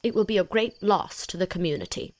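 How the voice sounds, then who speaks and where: A woman playing a sighing, mournful, gently critical married village gossip in an audiobook. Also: medium pitch, brisk, natural voice. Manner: sighing, plaintive. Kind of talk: audiobook character acting.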